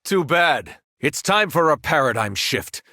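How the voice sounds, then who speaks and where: An actor playing a powerful, cruel superhero turned villain in a video game, arrogant and taunting. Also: male voice, on the high side, very animated, unhurried, sharp tone, dry recording, clean studio sound, full character voice. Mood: cold threat. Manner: taunting, menacing, condescending.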